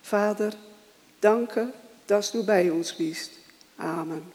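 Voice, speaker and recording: Slow, halting worship leader in a reverberant hall. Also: mid-range voice, a little mellow. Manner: slow, halting delivery with grammar slips. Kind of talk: church welcome, prayer and scripture reading.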